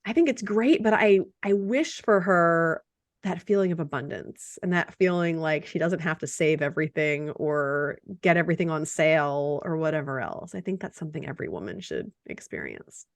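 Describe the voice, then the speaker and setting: A woman hosting a podcast interview, reading her guest's introduction and then chatting in a relaxed, conversational way. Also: low voice, normal speed. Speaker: podcast host.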